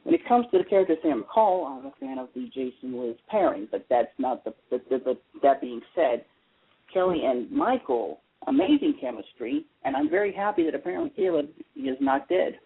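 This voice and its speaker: American guy rambling on a call-in show, raspy and creaky, opinionated, emphatic, tinny phone sound. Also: on the high side, very animated, a little fast. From United States. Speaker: guest commentator, soap opera fan. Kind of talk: casual opinionated radio chat. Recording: tinny phone line into internet radio.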